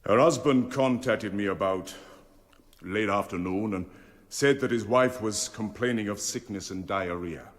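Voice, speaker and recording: An actor playing a proper, defensive family doctor, giving formal and sometimes hesitant evidence in a radio courtroom drama. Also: male voice, lowish voice, a little slow, lightly acted. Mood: formal, guarded. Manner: formal, hesitant under pressure.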